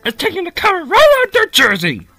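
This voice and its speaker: A man voicing an eager, blustering little military henchman creature in a cartoon fan dub, barking excitably. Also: extremely high pitch, brisk, dry recording, faint music behind, heavily acted. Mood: excited.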